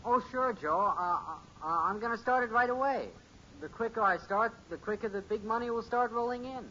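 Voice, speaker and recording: Bright-voiced eager teenage boy, old radio. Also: extremely high pitch, brisk. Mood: eager, self-important. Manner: earnest, self-important, hesitant under questioning. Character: eager, boastful teenage son with business schemes. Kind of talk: 1950s radio sitcom acting. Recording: dull, hissy old radio recording.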